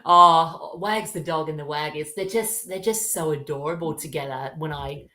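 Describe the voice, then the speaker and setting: A woman's voice: low voice, moderate pace, bright, forceful tone. A woman chatting casually as a podcast guest, gushing and effusive in the way they talk. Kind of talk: casual chat.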